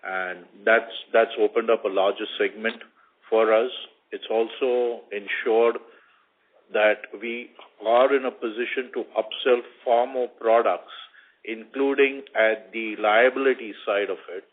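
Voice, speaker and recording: A man's voice: fairly low pitch, steady tone, a little slow. Man explaining business over tinny phone line. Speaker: bank executive. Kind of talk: spontaneous business explanation on an earnings call. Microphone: conference call line.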